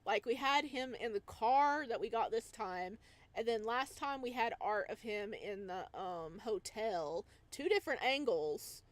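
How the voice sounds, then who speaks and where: Female game streamer, higher-pitched voice, very unhurried, playful and giggly, casual rambling chat. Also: animated. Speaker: live streamer playing a visual novel.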